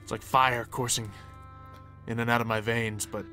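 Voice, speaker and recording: Man acting a reckless werewolf beta, game roleplay, bright edge, flippant, impulsive, music underneath. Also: mid-range voice, flat delivery, normal speed, close to an everyday voice. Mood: excited, pleased. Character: cocky, reckless male werewolf pack second-in-command. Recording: music behind the voice. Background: background music.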